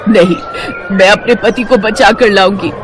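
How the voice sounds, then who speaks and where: A woman's voice: medium pitch, huge pitch swings, normal speed, crowd noise. A woman voicing an anxious, practical middle-aged wife and mother in an audio story, earnest and urgent. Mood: frantic, pleading.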